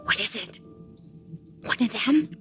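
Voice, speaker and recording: A woman's voice: slow pace, faint music behind, close to an everyday voice. A woman playing a frightened, wounded wife in a suspense audio drama, fierce and distraught as she faces small intruders. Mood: tense, hushed.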